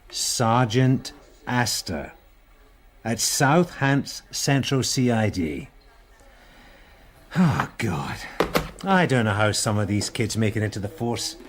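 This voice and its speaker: A man playing a grumpy, busy middle-aged detective sergeant in a radio drama, with a dry, sarcastic, exasperated manner. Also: medium pitch.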